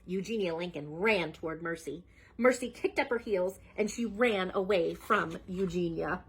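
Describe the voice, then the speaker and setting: A woman storyteller reading a children's book aloud in an animated style. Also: fairly low pitch, moderate pace, breathy edge, poor audio.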